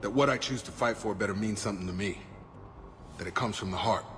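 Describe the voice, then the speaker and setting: A weary, principled soldier acted by a man speaks in a low, grounded voice. He is earnest and plainspoken, in the manner of a dramatic video game, with clear noise behind him. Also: quick pace, a bit bright, close to an everyday voice. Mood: reflective. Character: weary, principled male soldier protecting his people.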